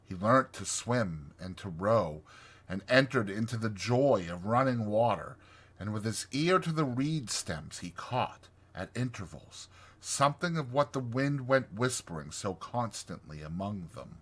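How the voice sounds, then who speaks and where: A man reading a classic storybook as an audiobook narrator, in an expressive scripted style. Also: lowish voice, a little slow, husky.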